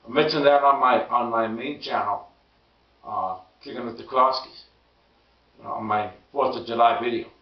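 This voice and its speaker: A hoarse man who stammers and repeats words, giving an emphatic casual political monologue. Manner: emphatic, rising pitch when indignant. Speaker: political commentary vlogger. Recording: roomy distant lo-fi sound.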